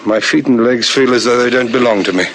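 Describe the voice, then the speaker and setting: An actor with a South African accent playing a diligent, respectful police lieutenant in a vintage radio drama, brisk and formal. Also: male voice, mid-range voice, a bit bright, slight background noise, close to an everyday voice, poor audio. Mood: businesslike, dutiful.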